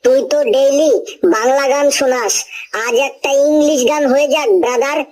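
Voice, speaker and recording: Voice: high-pitched, steady tone, moderate pace, a little mellow, natural voice. A performer voicing a deferential, apologetic schoolboy who pesters his friend in cartoon comedy dialogue. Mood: coaxing.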